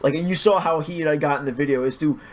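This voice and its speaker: A man chatting casually as a podcast guest, explaining things haltingly and rephrasing as he goes. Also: on the high side.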